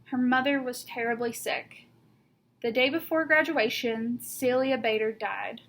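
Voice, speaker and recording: High-pitched woman reading a picture book aloud. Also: a little slow, a bit bright. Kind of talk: expressive children's picture book read-aloud.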